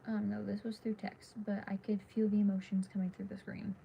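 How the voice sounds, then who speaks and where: A teenage girl livestreaming from her dark bedroom at night, talking in a tired, half-whispered ramble while losing her voice. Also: mid-range voice, vocal fry. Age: teens. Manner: hushed, half-whispered and rambling with many fillers. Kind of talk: casual late-night livestream chat. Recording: dull lo-fi phone audio with faint hiss.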